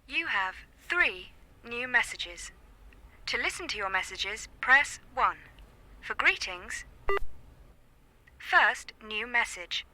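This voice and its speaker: Slow answer phone robot lady, very bright, highish, impersonal. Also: slight background noise, full character voice, poor audio. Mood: impersonal, neutral. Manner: flat, clipped, impersonal. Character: impersonal female automated voicemail system. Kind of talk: automated phone announcement.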